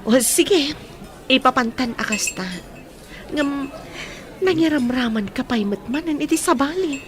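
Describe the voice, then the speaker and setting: Woman acting high-voiced, probing best friend. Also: lively intonation, measured pace, a crowd in the background. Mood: concerned, advising. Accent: Ilocano. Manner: questioning, advising. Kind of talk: Ilocano radio drama acting.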